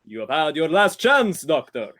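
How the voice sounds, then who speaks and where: A man acts out a menacing, mannered gentleman from a 1940s-style radio thriller. His pitch is highly animated and leaps about. His wording is formal and deliberate, in the theatrical manner of old broadcasts. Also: heavily acted. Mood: murderous. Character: menacing polite doctor villain, really a panicking radio actor.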